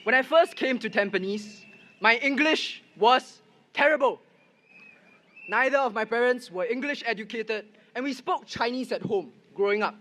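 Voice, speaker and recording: A young man speaks at a rally, telling his personal story in an earnest way. His voice is very high and bright. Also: moderate pace, slight background noise. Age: young adult. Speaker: first-time voter speaking at rally. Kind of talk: prepared rally speech. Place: outdoor rally with loudspeakers.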